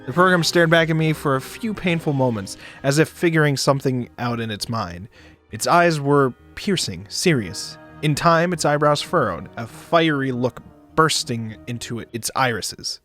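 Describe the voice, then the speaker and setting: A man doing a dramatic narration of a visual novel for a stream, expressive, sometimes stumbling and joking. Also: medium pitch, normal speed. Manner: expressive, stumbles and rereads lines. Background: music behind the voice.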